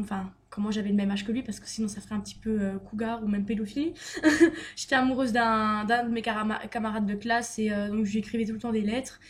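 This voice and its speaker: A young woman with a somewhat high voice chats through a list of tag questions for her vlog. She reads each question, then answers in a loose, giggly way, peppered with fillers. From Luxembourg. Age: young adult. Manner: chatty and giggly, lots of "euh" and "donc voilà". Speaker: beauty vlogger.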